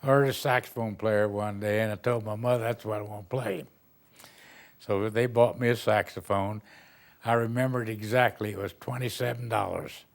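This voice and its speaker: Older man from Texas, gravelly low voice, folksy rambling storyteller in an interview. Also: fairly even intonation, normal speed. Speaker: retired band director. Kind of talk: reminiscing interview answers.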